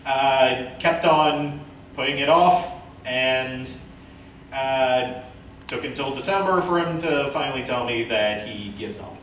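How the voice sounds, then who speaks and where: A man presenting an informal convention panel, speaking haltingly as he thinks aloud. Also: medium pitch, fairly bright tone. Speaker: panel presenter, amateur director and voice actor. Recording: echoey room from far off, faint noise.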